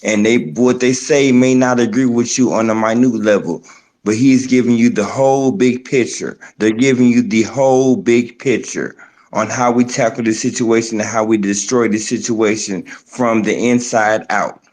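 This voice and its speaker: A man moderating an online audio chat room, jumping in with forceful, impassioned remarks. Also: medium pitch, fairly even intonation, moderate pace. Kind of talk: live audio chat commentary.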